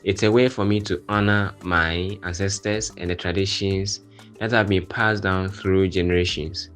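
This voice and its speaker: A man's voice: low voice, fairly even intonation, normal speed, fairly bright tone, faint music behind. A young man in his twenties, a university student giving an earnest, heartfelt personal statement. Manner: earnest, heartfelt, with "you know" fillers.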